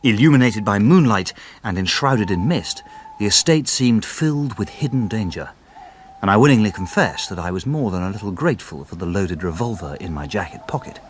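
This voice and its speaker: An actor playing an anxious, genteel gentleman narrating a mystery in formal, literary language as radio drama. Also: fairly low pitch, expressive, brisk, slight background noise, natural voice. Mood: uneasy suspense.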